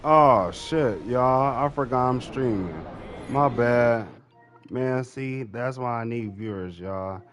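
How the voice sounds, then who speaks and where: A man's voice: medium pitch, takes it slowly, fairly bright tone. A man chatting casually to viewers while streaming a game, slangy and informal, often repeating himself. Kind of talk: casual gaming livestream chat. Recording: noticeable background noise, game ambience.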